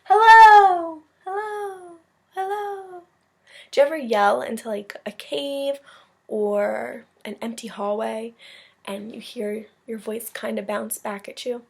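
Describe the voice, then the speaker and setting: A woman with a fairly high voice speaks as a teacher reading a picture book to her class. She moves between narrating the story and explaining it in simple terms for young children. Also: fairly even intonation, a little slow, creaky, husky. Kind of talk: storybook read-aloud with explanatory asides.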